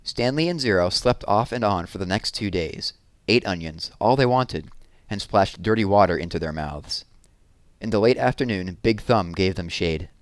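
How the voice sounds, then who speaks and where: A man's voice: deep voice, expressive, normal speed, no echo. A man reading a novel aloud in a scripted storytelling narration. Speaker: read-aloud reader.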